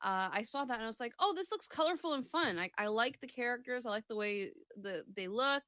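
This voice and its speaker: A woman's voice: a little high, expressive, a little fast, pushed and bright, no room sound, clean studio sound. A woman co-hosting a casual gaming chat show, talking in a rambling, sweary way and often interrupting herself.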